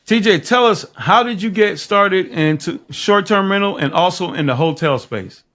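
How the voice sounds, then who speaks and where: A male podcast host opens the show and puts questions to his guest. His voice is high and bright. He speaks in a measured, formal way. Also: animated. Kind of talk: show introduction and interview questions.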